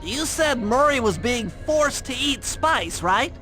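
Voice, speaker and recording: A man's voice: extremely high pitch, lively intonation, takes it slowly, pushed and bright, heavily acted. A man voicing a nerdy young turtle tech genius in a video game, with a thin nasal voice and a precise, wordy, anxious manner. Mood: worried. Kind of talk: video game cartoon voice acting. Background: music.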